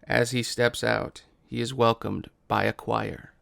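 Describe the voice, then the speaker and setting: A man's voice: mid-range voice, level tone, measured pace, gravelly, fairly bright tone, no room sound, clean studio sound. A man reading scripted stage directions as the narrator of a musical.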